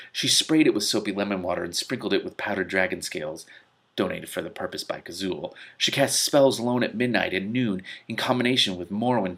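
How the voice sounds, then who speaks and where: A man reading a story aloud expressively, with a gravelly, slightly husky voice. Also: lowish voice, fairly bright tone. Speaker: reader of an online read-aloud series. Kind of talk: expressive audiobook-style reading with commentary.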